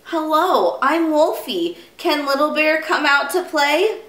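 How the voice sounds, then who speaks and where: Young storybook wolf, eager and sociable, very high, played by a woman; roomy echo. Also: lively intonation, slow pace, fairly bright tone, distant mic, heavily acted. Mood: cheerful. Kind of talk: children's storybook character voice. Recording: roomy, echoing sound.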